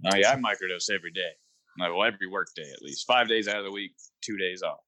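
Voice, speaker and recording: A man's voice: low voice, fast, sharp tone, no echo. A young man in casual chat, talking in a laid-back, rambling way with lots of fillers.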